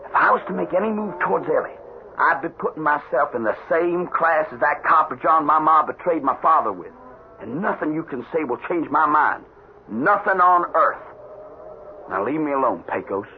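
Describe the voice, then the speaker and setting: Man playing a lively, stubborn young cowboy. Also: a little high, normal speed, lightly acted. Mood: stubborn, bitter. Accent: American Western. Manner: intense, stubborn drawl. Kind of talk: 1970s radio western acting. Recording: noisy, tinny old radio broadcast.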